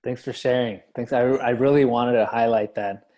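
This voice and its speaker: A man's voice: mid-range voice, fairly even intonation, moderate pace, darkish tone. A man casually moderating a panel conversation, speaking informally as its host.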